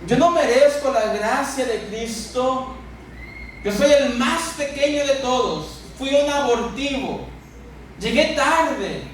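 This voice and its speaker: High-voiced Mexican preacher man in echoey hall. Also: expressive. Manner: emphatic, repeats phrases, pauses for effect. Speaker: pastor preaching to a congregation. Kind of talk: sermon. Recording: echoey church hall, distant mic, noisy.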